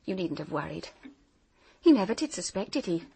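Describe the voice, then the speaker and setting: An actress playing a determined, earnest young private detective in a radio drama, direct and accusing. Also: female voice, lowish voice, very animated, brisk, gravelly, dark tone, husky, full character voice. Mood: probing.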